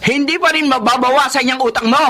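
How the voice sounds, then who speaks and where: A woman's voice: fast, pushed and bright. A woman voicing a cruel, abusive rich mistress of the house who screams insults at her maid. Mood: scolding. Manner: shrill, scolding, insulting. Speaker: voice actor.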